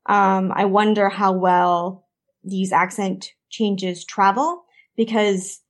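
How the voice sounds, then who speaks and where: A woman's voice: mid-range voice, fairly even intonation, slow pace. A woman with a Canadian accent hosting a podcast discussion, chatty and prone to long tangents. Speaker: podcast host.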